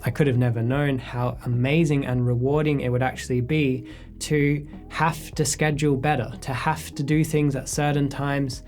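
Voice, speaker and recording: A man in his thirties talks through viewer questions in his studio, relaxed and reflective. His voice sits at an ordinary middle pitch. Faint guitar music plays underneath. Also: moderate pace. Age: 30s. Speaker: photographer answering viewer questions. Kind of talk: casual sit-down Q&A. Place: his studio space, indoors.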